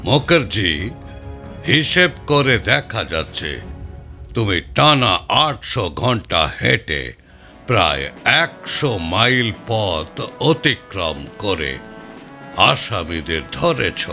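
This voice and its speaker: A man voicing an older British police chief who speaks in a slow, measured, superior way, over faint music. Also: lowish voice, sing-song, sharp tone, voice pushed down, exaggerated voice. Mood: approving. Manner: slow, deliberate, questioning.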